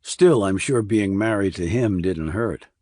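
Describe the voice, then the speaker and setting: A man's voice: on the low side, a little slow, a little mellow, dry recording, studio quality. A man voicing a blunt, probing army investigator in audiobook dialogue, terse and questioning.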